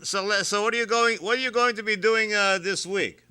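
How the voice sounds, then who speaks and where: Bright, smooth-voiced deadpan radio host man. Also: high-pitched, brisk. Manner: deadpan, teasing, stammers on restarts. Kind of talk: comedic radio banter.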